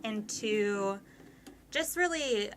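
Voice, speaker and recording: A woman's voice: mid-range voice, lively intonation, drawn-out words, slight background noise. A woman in her twenties, a podcast guest, talking candidly and at length with many fillers. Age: 20s. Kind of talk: casual conversation.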